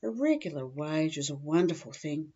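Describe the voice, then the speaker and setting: A woman's voice: sits low, sing-song, moderate pace, mellow, breathy edge, highs cut off. A woman with an Australian accent reading a scripted humorous first-person story in a dry, matter-of-fact comic way. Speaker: humorous writer reading their own story.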